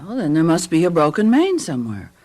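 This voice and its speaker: An American actress playing a chatty, flustered suburban housewife in a radio drama, speaking expressively and fretfully. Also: female voice, fairly low pitch, huge pitch swings, quick pace, a little mellow. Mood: puzzled.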